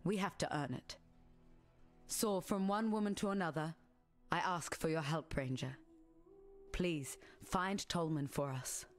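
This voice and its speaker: An actress playing a grave, dignified madam of a wasteland refuge in a video game, speaking in a measured, solemn way. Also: female voice, low-pitched. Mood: earnest plea. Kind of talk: scripted game character dialogue. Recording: music behind the voice.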